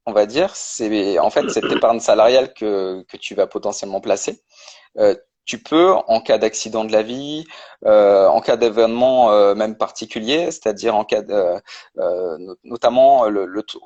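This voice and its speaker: A man's voice: lowish voice, a little mellow. A man, a guest on a live-stream interview, explaining step by step with many hesitations. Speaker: guest, personal finance creator.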